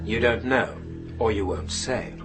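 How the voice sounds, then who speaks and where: An actor playing a stern, relentless inquiry official in a radio drama, formal, pressing and rhetorical. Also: male voice, on the low side, a little slow, fairly bright tone, faint music behind. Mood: stern, accusing. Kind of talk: formal radio drama courtroom-style acting.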